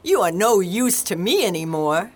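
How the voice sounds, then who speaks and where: A woman's voice: medium pitch, very animated, normal speed, slight background noise, voice pushed up, close to an everyday voice. Casting: curt, cold-hearted storybook miller, a dismissive man read by a woman. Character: stern, dismissive male miller scolding his old donkey.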